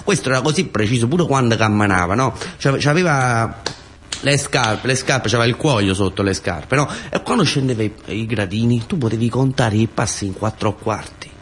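A male storyteller performs a theatrical monologue in colloquial speech with a southern Italian accent. His voice is medium in pitch, and he hammers phrases through repetition. Faint noise sits behind him. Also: normal speed, fairly bright tone. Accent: southern Italian, Taranto area. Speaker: actor-storyteller.

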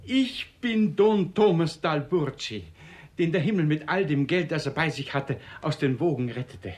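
An actor playing a dignified, solemn middle-aged gentleman and father in a radio comedy, speaking in a measured, formal way. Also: fairly high pitch, expressive, natural voice. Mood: moved, solemn. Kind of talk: classic stage comedy radio acting.

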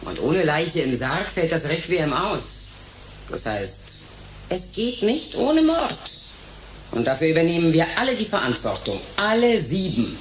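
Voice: mid-range voice, huge pitch swings, unhurried, background noise. An actor playing a bossy, cynical, ruthless ringleader in a radio crime drama, commanding and sarcastic.

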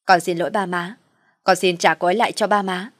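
A woman's voice: on the low side, steady tone, fairly quick, no echo, lightly acted. Woman plays wronged young husband, stern and resolute, audio drama, dry close recording. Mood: resolute, hurt.

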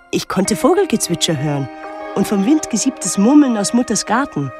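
An actress playing an observant young island woman, narrating a literary radio drama in a measured, lyrical way. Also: medium pitch, close to an everyday voice. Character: observant, reflective young island woman recalling her life. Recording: music under the voice. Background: music.

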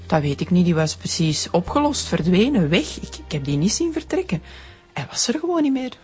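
A woman's voice: lowish voice, big pitch swings, fairly quick, a little mellow, faint music behind. Frank young Flemish woman. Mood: puzzled. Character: frank, smitten young woman being questioned. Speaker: actor in radio drama. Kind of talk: radio crime drama acting.

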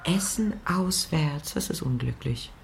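A woman playing a scheming, excitable middle-aged mother in a German radio play, impatient and exclamatory. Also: sits low, measured pace, gravelly, slight background noise, heavily acted.

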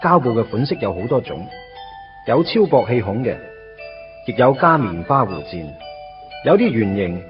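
A man's voice: medium pitch, big pitch swings, normal speed, pauses often, close to an everyday voice. An actor playing a wry, put-upon young man in a Cantonese radio drama, conversational and self-mocking with a Hong Kong accent. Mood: bemused, deadpan. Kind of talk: radio drama narration and dialogue. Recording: dull radio recording with music underneath.

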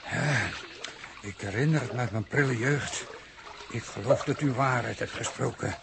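A man's voice: medium pitch, normal speed, creaky, natural voice. An actor in a sixties radio play voicing a polite, formal male captive from a strange robot city, hesitant and solemn. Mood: wondering. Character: courteous, stilted captive male citizen of alien robot city. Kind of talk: 1960s Dutch science-fiction radio play acting. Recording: noisy early 1960s radio recording.

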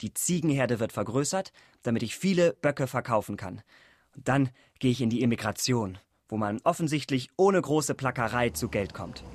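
A man's voice: medium pitch, lively intonation, normal speed, no echo, close to an everyday voice. An actor playing a determined, hopeful farmer laying out his plans in a radio drama monologue. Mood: resolute, hopeful. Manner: resolute, hopeful. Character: determined male farmer planning machines, goats and emigration.

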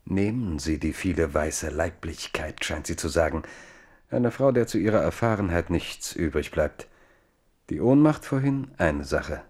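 A man with a deep voice narrates a radio drama. His delivery is scripted literary storytelling. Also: expressive, unhurried, a little mellow, slightly rough.

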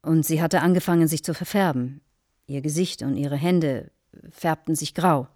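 A woman's voice: sits low, normal speed, darkish tone, no echo, studio quality, lightly acted. An actress in a German radio play as an earnest married woman, recounting with formal, dramatic detail. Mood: shaken, earnest. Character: earnest married woman solemnly recounting a shocking anecdote to a guest.

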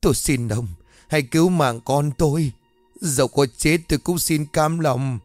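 A man's voice: medium pitch, animated, fairly quick, darkish tone, dry recording, soft background music, lightly acted. A man voicing a desperate poor mother who pleads and wails for her sick son. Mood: pleading. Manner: wailing, pleading.

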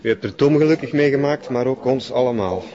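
A man's voice: mid-range voice, fairly quick, warm tone, close to an everyday voice. An actor playing a deferential male cult follower in a television drama, eager to please his master. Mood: fawning, grateful. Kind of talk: television crime drama acting. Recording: muffled lo-fi sound, faint music.

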